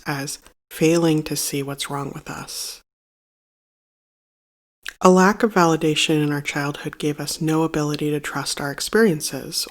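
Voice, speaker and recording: A meditation guide reading a scripted narration in a measured, solemn and instructive manner. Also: medium pitch.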